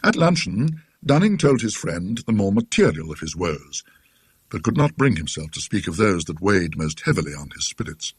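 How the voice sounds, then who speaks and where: A British man with a husky voice narrating a classic ghost story in a scholarly, dryly witty, deliberate manner. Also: low voice, very animated, dry recording, studio quality.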